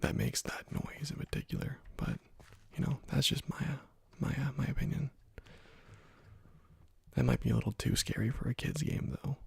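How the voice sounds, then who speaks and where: A man speaks very softly for an ASMR let's play, his voice extremely breathy and often slipping toward a whisper. Heavy vocal fry crackles through it. He muses casually and haltingly, close to the mic in a dry space. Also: mid-range voice, huge pitch swings, dry recording. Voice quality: hushed, breathy, partly whispered. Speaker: gaming video host. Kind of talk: ASMR let's play commentary, soft-spoken. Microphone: close microphone.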